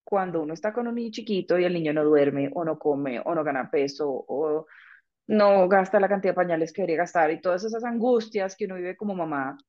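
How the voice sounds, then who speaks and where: Middle-aged woman guest, low voice, thoughtful and frank, lo-fi dull sound. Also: a little fast. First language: Spanish. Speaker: interpreter and mother, guest. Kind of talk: conversational interview.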